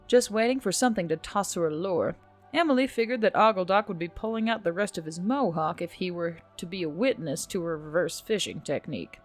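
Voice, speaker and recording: A woman reading scripted fiction as a narrator, expressive and animated, with wry humour. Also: medium pitch, no room sound. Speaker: audiobook-style narrator. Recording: dry close voice over music. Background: background music.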